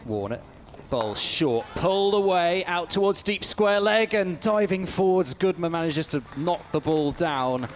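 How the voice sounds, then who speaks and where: A man's voice: high-pitched, animated, a little slow, few pauses, a little hiss or hum. A man doing ball-by-ball radio cricket commentary, hesitant and stumbling over repeated words, with his voice climbing when a shot is played. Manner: rises sharply when the action picks up.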